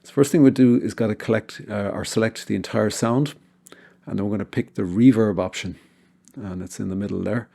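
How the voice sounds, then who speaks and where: Man, low pitch, creaky fry, informal tutorial host, step-by-step software narration. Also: steady tone, brisk.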